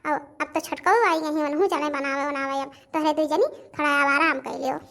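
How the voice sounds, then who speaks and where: An extremely high-pitched village housewife from a cartoon family comedy, acted by a woman. She has a rustic Awadhi accent and talks in a chatty, repetitive, gossipy way, like an in-law with opinions on everyone. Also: steady tone, a little fast, vocal fry, a bit bright. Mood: bossy, welcoming. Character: village women of a household, gossiping, admiring and grumbling in-laws.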